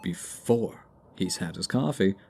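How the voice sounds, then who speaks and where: Smug villain male actor, slow and mellow, theatrical sarcastic teasing for a hospital ASMR drama. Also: sits low, expressive, gravelly, breathy edge, heavily acted. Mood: sardonic. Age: 20s. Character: smug flirtatious male supervillain recovering in hospital. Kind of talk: ASMR roleplay acting.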